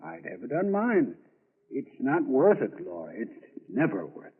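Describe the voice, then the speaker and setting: Through a thin, tinny vintage radio recording comes a genial husband with a secret. His delivery is animated and swinging, fond and gently moralizing in the style of 1940s radio acting. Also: mid-range voice, moderate pace, breathy edge, full character voice. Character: genial, upright husband who secretly cracks safes.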